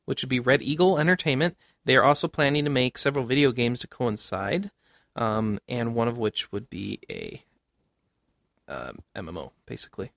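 A man's voice: mid-range voice, expressive, normal speed, creaky, a bit bright, no echo, studio quality. A man hosting a casual panel podcast about gaming news, speaking in a conversational way and given to chuckling. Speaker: podcast host.

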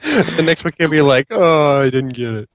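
A man's voice: fairly high pitch, expressive, moderate pace, dry recording. A man chatting casually and teasing the others as a panelist on a talk show. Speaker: podcast panelist. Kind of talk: casual chat, teasing banter.

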